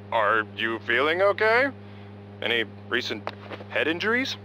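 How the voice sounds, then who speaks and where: A man's voice: on the high side, wildly swooping pitch, slow pace, background noise, full character voice. A man voicing a sardonic, teasing, laid-back colleague in a video game with dry sarcasm. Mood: mocking.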